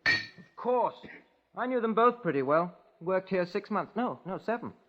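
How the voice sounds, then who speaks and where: Volatile, lovestruck young British handyman; higher-pitched, earnest, dramatic, on a dull vintage radio recording. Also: expressive, a little slow, background noise. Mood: sullen, scornful. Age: young adult. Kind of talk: 1950s radio crime drama acting. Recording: muffled old radio broadcast recording.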